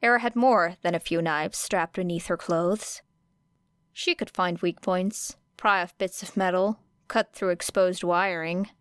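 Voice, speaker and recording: A woman reading a fiction audiobook as narrator, with expressive scripted delivery. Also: lowish voice, unhurried, smooth, no echo.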